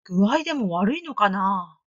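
A woman's voice: mid-range voice, lively intonation, unhurried, no echo, muffled recording. Woman doing a kindly storybook hunter. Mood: concerned.

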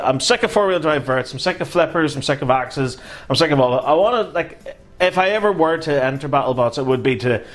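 A man in his thirties with a Northern Irish accent chats casually as a co-host, reading viewer comments aloud. He is animated and swears freely, his voice sitting at a medium pitch. It sounds like a small room recorded on a fixed camera mic. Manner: animated, swearing, gestures a lot while talking.

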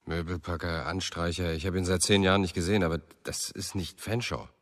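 An actor playing a brooding man who narrates a German radio drama in a husky, measured voice. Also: low-pitched, fairly even intonation, a bit bright, dry recording, clean studio sound. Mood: probing. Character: brooding male narrator, old friend of a missing writer.

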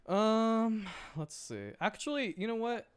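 A man doing casual livestream gameplay commentary, excitable and thinking aloud as he plays. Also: sits high, slow pace, smooth. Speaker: streamer.

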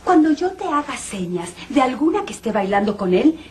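A woman's voice: fairly high pitch, sing-song, fairly quick, a little mellow, a little hiss or hum. An actress with a Mexican accent playing a refined, sweetly commanding society lady in an old telenovela. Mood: instructing. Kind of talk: 1980s telenovela acting. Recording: old TV soundtrack, faint music.

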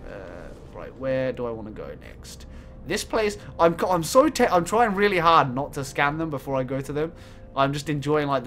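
Giggly young gamer guy, kinda croaky, voice jumps all over the place, desk mic. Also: medium pitch. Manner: giggly, swings from mumbling to excited shouts. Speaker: gaming YouTuber. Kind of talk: excitable let's-play commentary.

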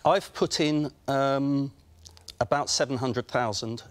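Entrepreneur, male, forties, English accent, measured answers under questioning. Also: medium pitch. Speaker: entrepreneur pitching. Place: large brick-walled studio, indoors.

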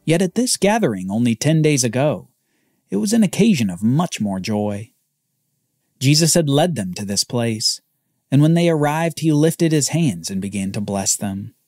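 Man narrating a Bible story, husky and lively. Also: medium pitch, no echo, clean studio sound. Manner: lively storytelling with dramatic pauses. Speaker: podcast host and narrator.

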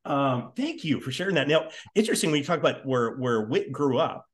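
Animated, quick-talking man hosting. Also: medium pitch. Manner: effusive, exclaiming reactions. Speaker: interview host. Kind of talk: casual chat. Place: living room at home, indoors. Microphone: video call.

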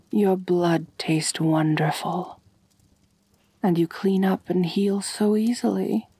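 Woman acting a breathy, slow-speaking vampire mistress. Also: fairly low pitch, no echo. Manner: gentle, doting, softly commanding, often near whispering. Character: kind, possessive, refined female vampire mistress. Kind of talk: ASMR roleplay, soft intimate personal attention.

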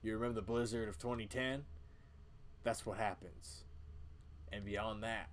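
A man's voice: lowish voice, steady tone, normal speed, slight background noise, natural voice. A man voicing a weary, brooding old small-town cult leader in a dramatic video game reading. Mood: grim, brooding. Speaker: video game player voicing all characters.

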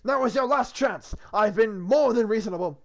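A man playing an earnest king in a comic script, calling out in a raised, animated voice. He is formal, regal and insistent, with a note of exasperation in his manner. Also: sits high, a little fast, highs cut off, faint music behind, pitched above the performer's own voice. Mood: exasperated.

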